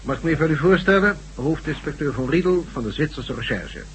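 A man acting a polite chief inspector in an old radio play. His voice is fairly high and very animated, with formal, courteous phrasing. There is light noise on the recording. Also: close to an everyday voice, poor audio. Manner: polite, formal, measured wording. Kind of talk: Dutch science-fiction radio drama acting.

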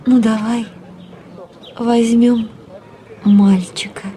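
A woman's voice: on the high side, little pitch movement, drawn-out words, warm tone, slight background noise. An actress in an audio drama playing a loving, wistful, girlishly dreamy wife who coaxes tenderly in short phrases.

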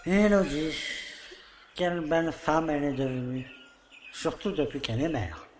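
A man plays a long-serving village mayor in an audio drama, pompous and falsely kindly. His voice sits on the high side and addresses others with paternal self-satisfaction. The room is echoey, with faint noise behind him. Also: moderate pace, darkish tone, lightly acted. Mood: fond, leering nostalgia. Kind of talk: theatrical monologue in an audio play.

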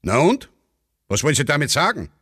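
A man's voice: fairly high pitch, wildly swooping pitch, a little fast, fairly bright tone, slightly rough, no echo, studio quality, pitched above the performer's own voice, exaggerated voice. A man playing a callous, cynical military judge with an Austrian accent in a satirical radio play, brusque and dismissive.

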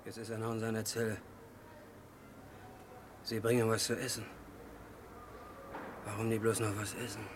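A man's voice: fairly low pitch, monotone, moderate pace, long pauses, noisy background, close to an everyday voice. An actor playing a desperate, deranged young man in a radio crime play, pleading in childlike, repetitive phrases. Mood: feverish, haunted.

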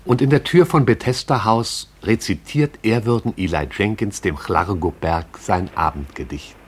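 An actor with a husky voice as the poetic, all-seeing narrator of a radio play. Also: male voice, on the low side, normal speed. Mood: lyrical, wry.

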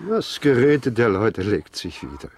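An actor in a German radio play playing an old, taciturn grandfather, gruffly reserved and terse in his way of talking. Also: lowish voice, big pitch swings, measured pace, full character voice. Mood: gruff, sad. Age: older. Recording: farmyard with clucking chickens. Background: chickens and birds.

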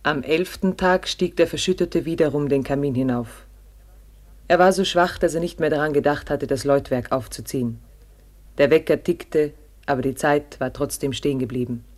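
Man playing radio-play narrator, slightly high male voice, grave, measured, short sober sentences. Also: close to an everyday voice. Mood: grave, tense. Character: grave storyteller narrating a survival ordeal. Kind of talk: scripted radio drama narration.

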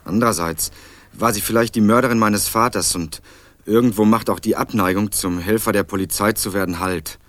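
A man's voice: lowish voice, a little fast, a bit bright, natural voice. A young actor playing a guarded male student who answers a police detective in a radio crime drama, curt and wary. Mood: brooding. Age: young adult.

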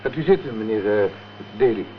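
A man's voice: lowish voice, animated, brisk, lightly acted. A man playing a plain-spoken, uneasy building caretaker in a Dutch radio drama. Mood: plain, matter-of-fact. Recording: dull old radio recording, faint noise.

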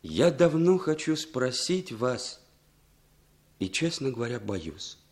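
An actor playing a scheming foreign man who talks smoothly and insinuatingly in a 1960s radio drama. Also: mid-range voice, sing-song, slow, darkish tone.

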